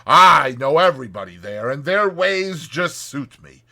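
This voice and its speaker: A man's voice: fairly high pitch, lively intonation, a little slow, creaky, bright, forceful tone, heavily acted. A man voicing a worldly, boastful seafaring rat who savors old voyages with grand storytelling flourishes, in a dramatized audiobook. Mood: contented. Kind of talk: dramatized audiobook character reading.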